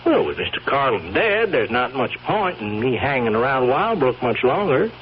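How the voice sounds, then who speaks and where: A man's voice: medium pitch, sing-song, normal speed, lightly acted. An actor playing a sly, folksy stable hand turned blackmailer in a radio drama, rambling and insinuating as he wheedles. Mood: wheedling. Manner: folksy, rambling, insinuating, chuckling drawl of hesitations. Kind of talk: old radio drama acting. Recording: dull, hissy old radio recording.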